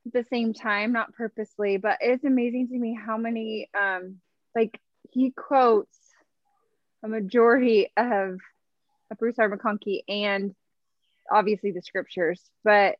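A woman's voice: on the high side, no room sound. A woman in an online discussion group, chatting candidly and at length with many fillers over a video call. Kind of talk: casual online group chat. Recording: dull dry video call audio.

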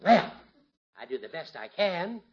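Fussy old judge, very animated. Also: male voice, fairly high pitch, a little slow. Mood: proud, polite. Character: fussy, proud old small-town judge. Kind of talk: 1950s radio sitcom acting. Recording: muffled, noisy 1950s radio recording.

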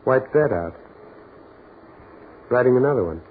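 An inquisitive, insistent American man in a 1940s radio horror drama. His voice is very husky and rises and falls with theatrical emphasis. The sound is narrow and tinny, like an old broadcast. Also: medium pitch, expressive, unhurried. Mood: puzzled. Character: curious, insistent man out on a dark beach. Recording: scratchy band-limited 1940s radio recording.

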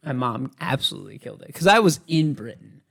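Young man chatting casually, with creaky fry. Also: medium pitch, very animated, quick pace, a bit bright. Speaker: podcast co-host. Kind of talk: casual chat.

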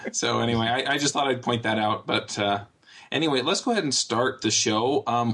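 A man hosting a casual panel podcast, talking in a relaxed, rambling way with filler words. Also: medium pitch, breathy edge. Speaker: podcast host.

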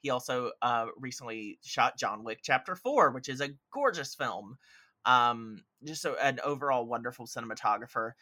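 A man's voice: mid-range voice, animated, moderate pace, sharp tone. A man chatting casually about films as a podcast guest, opinionated, emphatic and effusive, with rambling asides. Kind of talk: casual film chat.

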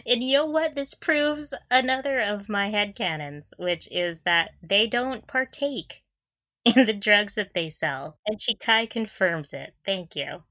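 A woman with a bright, sharp voice and very lively pitch movement, recorded close and dry. She chats casually as a podcast co-host, expressive and quick to react. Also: no echo. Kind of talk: casual chat.

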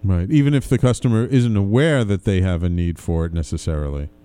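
A man with a mellow, smooth and resonant voice hosts a conversational interview. He sounds agreeable, repeating short affirmations between his questions. His tone is soft-edged and dark, sitting a little low. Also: a little fast. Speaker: show host, interviewer.

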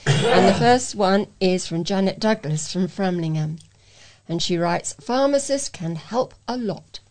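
A woman's voice: mid-range voice, darkish tone. A British woman reading newspaper letters aloud as a volunteer news reader.

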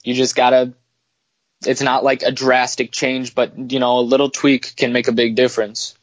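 A man's voice: mid-range voice, monotone, moderate pace, no echo, highs cut off. A man giving his opinions in a casual sports chat as a podcast co-host.

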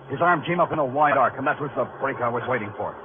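A man's voice: medium pitch, expressive, fast, heavy background noise, natural voice. Tinny old-radio hard-boiled American detective played by a man. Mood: urgent. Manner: terse, brooding, dramatic delivery. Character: hard-boiled New York police detective, weary and wry. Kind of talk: 1950s radio crime drama acting, noir narration. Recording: narrow, tinny old radio recording with music.

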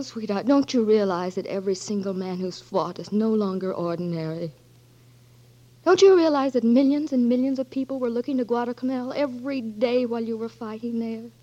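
Actress as a high-pitched loving wife, earnest and pleading, 1940s radio play, dull broadcast audio. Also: female voice, dark tone, close to an everyday voice. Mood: tender. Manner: pleading, tender, insistent. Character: devoted young wife pleading with her blinded Marine husband.

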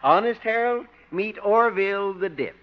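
An actor playing a folksy, good-natured, simple small-town jailer in a 1950s radio comedy, with a rustic, easygoing manner. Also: male voice, sits high, lively intonation, moderate pace. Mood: friendly. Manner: rustic, chuckling, easygoing drawl. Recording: dull, noisy 1950s radio recording.

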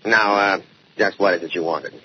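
An actor playing an obliging, mildly hesitant phone company official, polite, in 1940s radio style. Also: male voice, low-pitched, moderate pace, close to an everyday voice. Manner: polite, accommodating. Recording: muffled old radio recording, faint noise.

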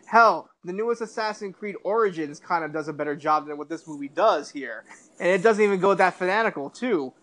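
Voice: mid-range voice, animated, a little fast, fairly bright tone. A podcast host giving a casual film review as an emphatic, repetitive rant peppered with swearing.

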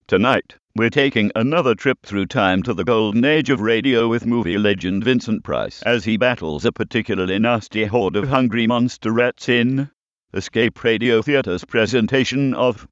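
A synthetic male voice as a snide robot announcer, giving a scripted podcast introduction in a stilted, deadpan way. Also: lowish voice, moderate pace, no echo, lo-fi sound, natural voice. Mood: deadpan snark.

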